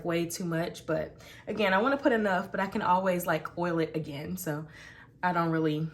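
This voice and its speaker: A young pregnant woman with a nasal, congested voice, casually chatting on her vlog while she oils her hair at her home vanity, rambling with lots of fillers and restarts. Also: medium pitch. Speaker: beauty vlogger. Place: home vanity room, indoors.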